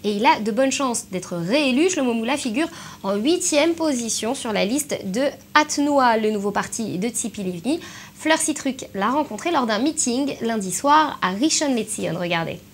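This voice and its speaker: A woman hosting a live television morning show, interviewing and presenting with measured, sometimes hesitant phrasing. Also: a little high, animated, slight background noise. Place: television studio.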